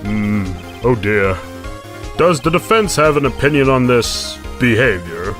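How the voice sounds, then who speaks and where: A man's voice: medium pitch, expressive, unhurried, fairly bright tone, soft background music. A man voicing a stern but fussy older male judge, formal and authoritative. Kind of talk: fan dub of game dialogue.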